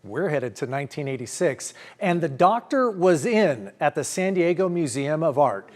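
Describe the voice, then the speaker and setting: A man's voice: on the high side, big pitch swings, normal speed, a little mellow. A middle-aged American man presenting scripted news as a studio anchor.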